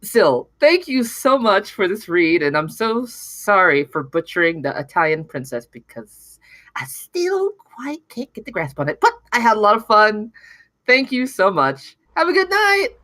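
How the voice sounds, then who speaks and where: A woman's voice: mid-range voice, very animated, measured pace, a bit bright, no echo. A woman giving casual feedback in a playful, giggly way. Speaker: script reader giving feedback. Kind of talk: casual chat.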